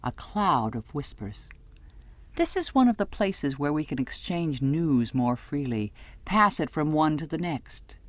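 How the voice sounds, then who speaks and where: Expressive woman narrator, very dry sound. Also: deep voice, a little slow, no echo. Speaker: audiobook narrator. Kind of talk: scripted first-person literary narration.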